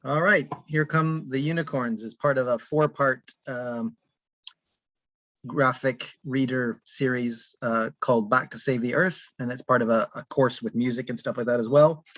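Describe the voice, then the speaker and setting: A man's voice: mid-range voice, fairly even intonation, moderate pace, a bit bright, no echo. A man casually explaining his book as its author, talking from home on an online call. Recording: dull, lo-fi call audio.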